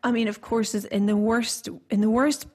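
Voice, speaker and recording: A woman's voice: on the high side, steady tone, moderate pace, a little mellow, breathy edge. Chatty podcast host from Scotland, woman. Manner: chatty, rambling with many fillers and restarts. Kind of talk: casual podcast conversation.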